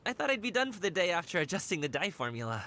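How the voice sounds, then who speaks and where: An actor playing an easygoing, kind young man in a game cutscene, casual, gentle and a little hesitant. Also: sits high, sing-song, rapid-fire, slight background noise, exaggerated voice. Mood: reluctant, hesitant. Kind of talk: video game cutscene acting. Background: music.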